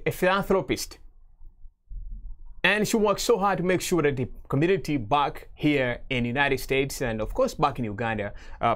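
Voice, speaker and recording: Chatty man hosting a studio interview. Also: a little high. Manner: rambling, animated questions with many fillers. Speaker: TV show host.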